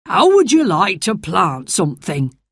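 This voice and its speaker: A gruff old gardener, cross at first but kind underneath, as a woman reading the story puts him on. He speaks slowly and plainly. Dry, close storybook recording. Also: fairly low pitch, huge pitch swings, dry recording, heavily acted.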